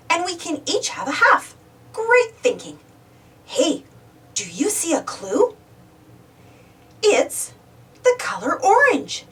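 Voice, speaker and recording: Woman reading as very animated, cheerful preschool host. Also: high voice, distant mic, exaggerated voice. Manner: animated, sing-song, addressing young children directly. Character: Joe, cheerful young man hosting a preschool show. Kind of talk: children's storybook read-aloud.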